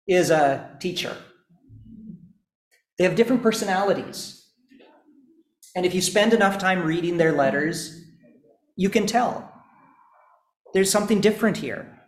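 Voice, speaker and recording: A male teacher lectures slowly and deliberately, pausing between phrases. His voice sits a little high. The room around him is echoey. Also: animated. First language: not English, also speaks Estonian. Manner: slow, deliberate explaining with pauses. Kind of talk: classroom lecture.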